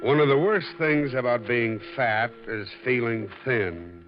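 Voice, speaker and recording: A man's voice: mid-range voice, measured pace, lightly acted. An actor playing a wry, heavyset hard-boiled detective who narrates his own case in a 1940s radio drama. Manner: wry, easygoing first-person narration. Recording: dull lo-fi vintage radio recording with music.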